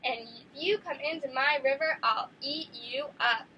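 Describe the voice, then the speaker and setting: A woman shouting as a selfish storybook crocodile. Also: high-pitched, muffled recording, a little hiss or hum. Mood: angry shouting. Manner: shouting threats, drawn-out words. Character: big selfish bullying male crocodile. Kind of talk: storybook read-aloud.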